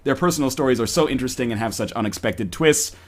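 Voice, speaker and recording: A man's voice: medium pitch, quick pace. A man reading a story aloud on a stream in a playful, mock-dramatic way with joking asides.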